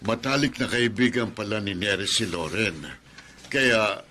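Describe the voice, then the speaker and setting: A man's voice: on the low side, expressive, a little slow, bright, forceful tone. An actor playing a plain-spoken, sympathetic father in a radio drama. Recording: noisy radio-drama recording.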